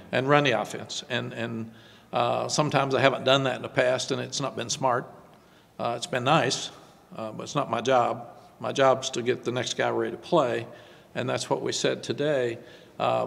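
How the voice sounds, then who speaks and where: An older man, a head football coach, answering postgame press questions at a desk microphone, halting and repeating words before quick emphatic runs. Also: medium pitch, creaky, big-room sound. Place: press room before a sponsor backdrop. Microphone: desk microphone on stand.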